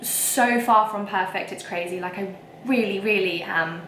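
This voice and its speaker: A woman's voice: medium pitch, unhurried, a bit bright, a little hiss or hum. Young woman, whiny voice, frank and emphatic vlog rant, echoey room. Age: young adult. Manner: emphatic and frank, with frequent fillers. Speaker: vlogger. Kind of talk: casual ranting to camera.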